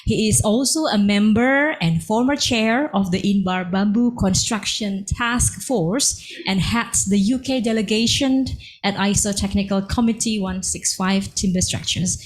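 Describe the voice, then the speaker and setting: A woman hosts a university session and reads out a guest's biography. Her mid-pitched voice is careful and formal, with small hesitations between phrases. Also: a little slow, few pauses, rough recording. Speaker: moderator, course host. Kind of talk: formal introduction of a speaker.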